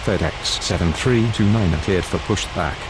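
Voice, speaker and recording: A man's voice: deep voice, moderate pace, music in the background, poor audio. A man speaking in formal air traffic control radio phraseology, as pilots and controllers do.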